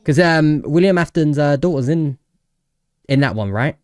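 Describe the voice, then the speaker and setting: A man's voice: fairly high pitch, expressive, moderate pace, darkish tone, no echo, clean studio sound. A man hosting a reaction channel in casual, slangy, sweary chat.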